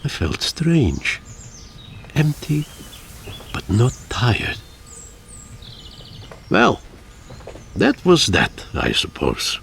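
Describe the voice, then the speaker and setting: An actor playing a weary, pompous older adventurer, narrating his tale in a dramatic, florid, deliberate way for a game cutscene. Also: mid-range voice, wildly swooping pitch, creaky, fairly bright tone, a little hiss or hum, heavily acted. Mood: resigned.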